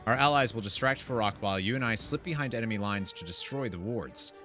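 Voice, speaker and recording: A man streaming a video game, chatting in an informal, slangy, expressive way and reading quest text aloud. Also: lowish voice, fast. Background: game music.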